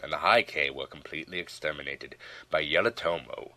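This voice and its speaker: A man reading a historical tale as an audiobook narrator, in a theatrical, solemn storytelling manner with deliberate phrasing. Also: very deep voice, sharp tone.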